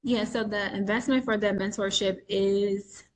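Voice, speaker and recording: A woman's voice: medium pitch, steady tone, a little slow. A woman coach on a video call, answering questions at length with frequent restarts and fillers. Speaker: coach selling a mentorship. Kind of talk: live webinar Q&A.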